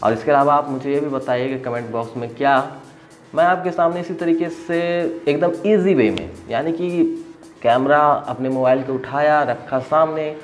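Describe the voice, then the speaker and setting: A man's voice: mid-range voice, normal speed. Emphatic Indian man reviewing apps, over music. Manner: emphatic, repeats phrases for stress. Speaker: tech video host. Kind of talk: informal app review to camera.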